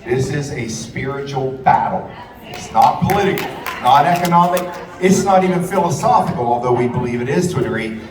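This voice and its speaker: A man's voice: medium pitch, lively intonation, fairly quick. A man giving an impassioned, repetitive, profane rally speech in a large event hall. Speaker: rally speaker and radio host. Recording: echoey hall, distant, loud crowd. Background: loud crowd and hall noise.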